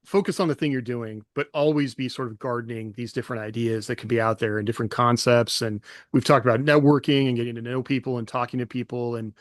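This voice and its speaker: A man co-hosts a writing podcast, thinking out loud as he talks. His voice sits at an ordinary middle pitch. The close microphone leaves almost no room sound. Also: fairly even intonation, quick pace, no echo. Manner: thoughtful, rambling with fillers. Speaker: podcast co-host. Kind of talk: casual chat.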